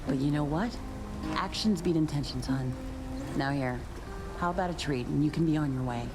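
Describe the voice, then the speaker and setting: A woman's voice: low voice, moderate pace, noisy background, close to an everyday voice. A woman voicing a sassy, world-weary shade in a video game, with a folksy, blunt and familiar way of talking. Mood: wounded, dry.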